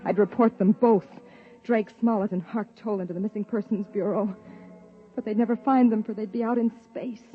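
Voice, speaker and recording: An actress playing a young wife and biologist who uncovers a dark secret, acting in a 1950s radio drama, urgent in argument and numb when narrating. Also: female voice, mid-range voice, steady tone, normal speed, faint music behind, lightly acted. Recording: dull lo-fi 1950s radio recording.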